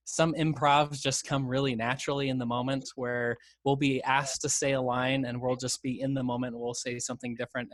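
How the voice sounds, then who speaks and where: A man's voice: mid-range voice, a bit bright, dry recording. A man speaking as a volunteer voice actor, chatting casually on a panel. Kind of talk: casual chat.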